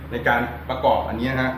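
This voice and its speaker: A man talks through a hands-on tutorial in a casual, easygoing way. His voice sits at an average pitch, and he sounds informal and chatty. The homemade recording carries clear background noise. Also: flat delivery, moderate pace. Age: adult with a child. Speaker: DIY guitar electronics tutorial host. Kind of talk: casual hands-on demonstration talk.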